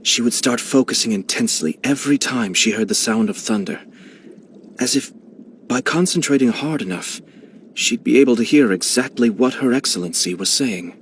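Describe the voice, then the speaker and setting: An actor voicing a genteel, scheming young male clan head in a video game, speaking in a smooth, measured and courteous way with quiet amusement. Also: mid-range voice, slight background noise, natural voice. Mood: composed. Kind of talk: video game character voice lines.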